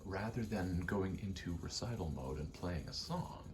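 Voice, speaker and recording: Hushed, very low-voiced man rambling softly. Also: expressive, background noise. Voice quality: soft, hushed, often slipping into whisper. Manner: halting, rambling, thinking aloud in short pieces. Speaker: ASMR creator, gamer; actor and stage combatant. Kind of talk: ASMR ramble over video game play, casual.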